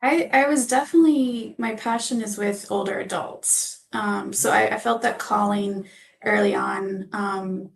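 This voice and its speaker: A woman clinician explains things informally as a guest expert. Her pitch is average and stays very even, with a lot of creak in the voice. She goes phrase by phrase, pausing as she thinks. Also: a little hiss or hum. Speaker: physician assistant, panel guest.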